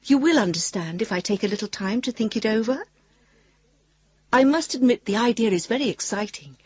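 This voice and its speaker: An actress playing a thoughtful, cautiously excited woman, reading a gentle, tentative love letter aloud in a radio drama. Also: on the high side, brisk, husky, no echo, muffled recording. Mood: tender, hesitant.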